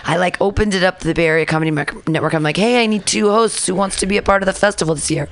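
Low-voiced chatty radio host woman. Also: very fast. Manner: chatty, rambling, animated retellings. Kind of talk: casual radio chat. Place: community radio studio. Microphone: studio mic.

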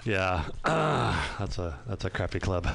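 A man hosting a radio talk show, easygoing and halting with small fillers. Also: sits low, lively intonation, creaky, a bit bright. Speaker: radio host. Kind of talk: casual radio chat.

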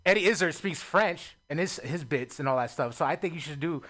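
High-voiced man giving chatty feedback. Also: expressive, fairly quick, a bit bright. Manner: chatty, brotherly, repeats "bro". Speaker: comedy show judge.

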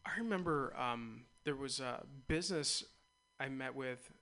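Male radio host, mid pitch, bright tone, loose rambling chat. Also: unhurried, vocal fry. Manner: loose, rambling, laughs easily. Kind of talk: casual interview chat.

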